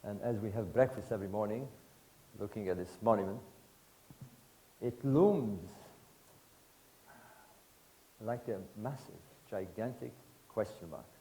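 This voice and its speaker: A man in his sixties from Egypt, giving a public lecture to an audience in emphatic, rhetorical bursts of short phrases with pauses. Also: fairly low pitch, mellow, low-quality recording. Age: 60s.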